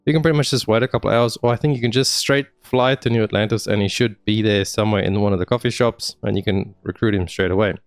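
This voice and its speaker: A man's voice: lowish voice, steady tone, fast, hardly any pauses, no room sound. A man narrating a game walkthrough in a casual, informal, friendly way, speaking straight to viewers. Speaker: gaming video host. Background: music.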